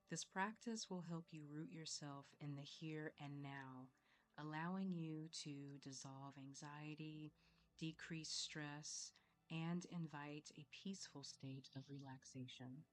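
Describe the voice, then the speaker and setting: A woman leading a guided meditation in a soothing, measured way, leaving long pauses between phrases. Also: sits low, steady tone. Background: soft background music.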